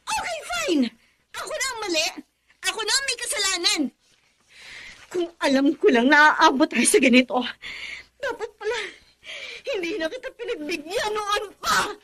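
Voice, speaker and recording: A very high, swooping female voice plays a betrayed young woman. She is melodramatic and emotional, wounded and indignant in the manner of a radio love drama. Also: sing-song, normal speed, sharp tone, slight background noise, exaggerated voice. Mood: bitter, hurt. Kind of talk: Tagalog radio drama acting.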